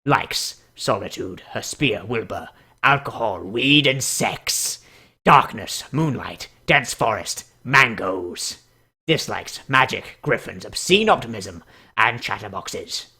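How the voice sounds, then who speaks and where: A man's voice: medium pitch, bright, forceful tone, breathy edge, voice pushed down, full character voice. A man putting on a grave, dramatic narrator voice to read out character profiles. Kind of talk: dramatic scripted reading.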